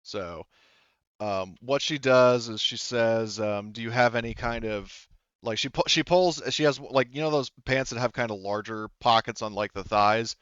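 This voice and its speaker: Low-voiced, smooth man running a game. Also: moderate pace, a bit bright, no room sound, muffled recording. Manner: hesitant, rambling, with many filler words. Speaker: game master. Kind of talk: improvised narration of a tabletop roleplaying game.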